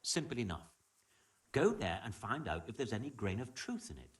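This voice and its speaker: Wary, animated medieval tradesman. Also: medium pitch, normal speed, distant mic, noisy background. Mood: conspiratorial. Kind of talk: video game dialogue acting.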